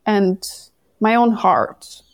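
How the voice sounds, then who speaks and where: A woman from Denmark, a podcast guest telling her story in a candid, animated way. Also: medium pitch, very slow, dry recording, clean studio sound. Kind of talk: casual interview conversation.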